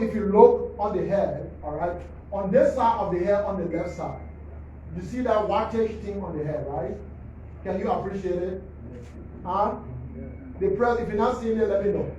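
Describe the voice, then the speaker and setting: A man's voice: high-pitched, normal speed, long pauses, a little mellow, a little hiss or hum. Echoey far-off man lecturing on autopsy slides. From Liberia. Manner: teacherly, keeps asking "all right?". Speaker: forensic pathologist at a press briefing. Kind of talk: explaining autopsy slides to the press. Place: government press briefing hall. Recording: distant mic, echoey muffled hall.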